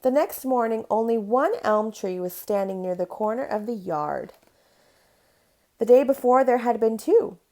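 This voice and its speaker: A woman's voice: on the high side, expressive, unhurried, warm tone. A woman reading a children's picture book aloud in a storytelling style.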